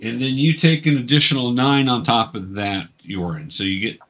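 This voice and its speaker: Male game master, mid-pitched voice, instructional and conversational, patient step-by-step delivery. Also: moderate pace, fairly bright tone, a little hiss or hum. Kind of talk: casual tabletop game instruction.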